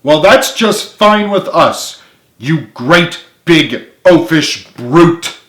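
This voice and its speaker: A defiant girl with a fierce temper, put on by a man reading hurls loud, scornful storybook taunts. The pitch is raised, and the sound is echoey. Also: expressive, very slow, heavily acted. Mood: angry, defiant. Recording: echoey room.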